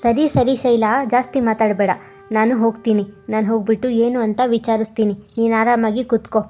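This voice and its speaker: A woman's voice: fairly high pitch, steady tone, fairly quick, dark tone, soft background music, close to an everyday voice. A woman voicing a touchy, easily swayed young husband in a cartoon moral story, with an emphatic manner. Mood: suspicious.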